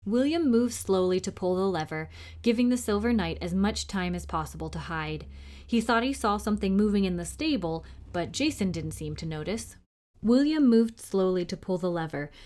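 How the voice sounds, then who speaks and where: A woman's voice: medium pitch, a little mellow. A woman narrates a children's novel in a storytime style. Her voice sits in a middle range, and she reads as one would to preteens at a library.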